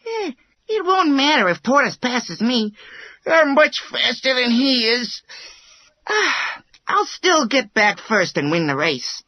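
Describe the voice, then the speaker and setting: A woman voicing a boastful, energetic male hare who mocks others in a children's storybook. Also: sits high, takes it slowly, no room sound, heavily acted. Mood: smug. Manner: cocky, exclaiming, scornful. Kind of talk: children's storybook character acting.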